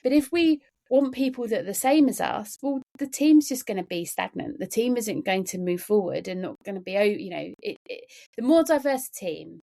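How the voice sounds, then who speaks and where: A woman's voice: medium pitch, lively intonation, normal speed, gravelly, hardly any pauses, dark tone. Earnest woman guest, emphatic and repetitive. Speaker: podcast guest, neurodiversity trainer. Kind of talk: conversational podcast interview.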